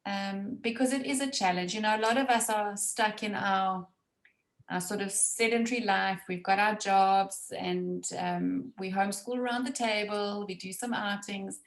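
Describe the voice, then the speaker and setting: A woman interviewing a family for a homeschool program, asking questions and affirming their answers warmly. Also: medium pitch, steady tone, normal speed, echoey room. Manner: warm, affirming, laughs often.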